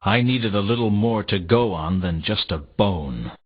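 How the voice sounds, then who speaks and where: Husky, world-weary noir detective. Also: male voice, on the low side, unhurried. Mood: wry, brooding. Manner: deadpan, sardonic. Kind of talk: scripted first-person noir game narration. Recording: dull lo-fi game audio.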